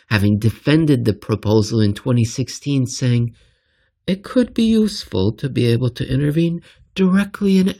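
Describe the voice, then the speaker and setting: A man reading a scripted financial essay aloud with emphatic, deliberate phrasing and a wry edge. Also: medium pitch, gravelly, mellow, slightly rough.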